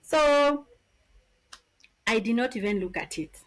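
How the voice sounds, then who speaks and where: Kenyan woman in her 30s, podcast guest, highish voice, animated storyteller. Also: slow, rough recording. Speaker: podcast guest, business founder. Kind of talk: casual interview storytelling.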